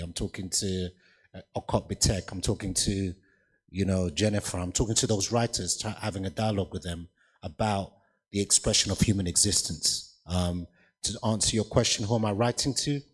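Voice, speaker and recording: A man's voice: deep voice, fairly even intonation, normal speed, husky. A man, a writer on a festival panel, answering audience questions in an earnest, candid way with many restarts. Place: festival hall.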